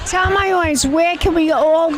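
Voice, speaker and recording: A flamboyant woman host with a Brooklyn accent and a high, bright voice. She runs red-carpet interviews outdoors at an evening gala, gushing and calling everyone darling. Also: normal speed, some chatter behind.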